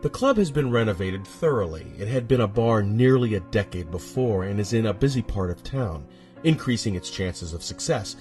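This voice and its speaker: A man with a husky voice narrating a first-person horror story, dramatic and suspenseful, with deliberate pauses. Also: on the low side, darkish tone.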